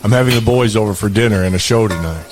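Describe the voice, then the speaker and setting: A man playing a low-voiced, menacing gang boss. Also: fast, background noise, lightly acted. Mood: commanding. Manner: curt, commanding. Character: brutal male gang boss and enforcer, commanding, menacing. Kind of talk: audio drama acting.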